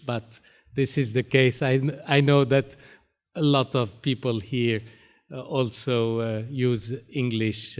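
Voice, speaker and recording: Man, Arabic first language, from Syria and Lebanon, priest giving keynote, slow, halting, middle pitch. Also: clean tone. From Syria, raised in Lebanon. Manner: halting, many pauses and fillers. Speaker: Jesuit priest, keynote speaker. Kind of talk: keynote speech. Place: university hall stage.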